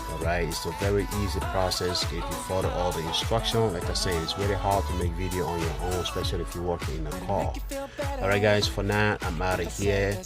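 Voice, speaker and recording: A man chatting casually through a hands-on car tutorial, informal and repeating phrases for emphasis, speaking inside a car. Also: low voice. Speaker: car DIY video host.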